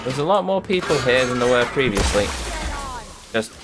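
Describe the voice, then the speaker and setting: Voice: mid-range voice, animated, normal speed, over music. A video game streamer giving casual, sluggish commentary, muttering to themselves with playful mock-formal turns of phrase. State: sluggish, not fully awake.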